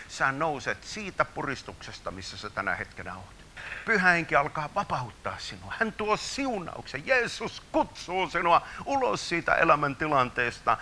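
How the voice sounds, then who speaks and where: A man leading a charismatic healing prayer, prophesying over people in a fervent style that swings between hushed murmurs and loud declarations. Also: lowish voice, a little hiss or hum. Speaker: preacher.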